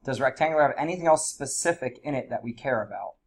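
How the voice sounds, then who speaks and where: A man with congested allergies, teaching a high school math class in a casual, chatty way. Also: animated, quick pace, gravelly. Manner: casual, chatty explaining with fillers. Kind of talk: classroom lesson.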